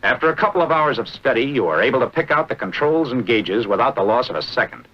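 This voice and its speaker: An American man narrating a 1940s military training film in a brisk, instructive manner with clipped short phrases. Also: medium pitch, animated. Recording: dull, band-limited vintage film soundtrack.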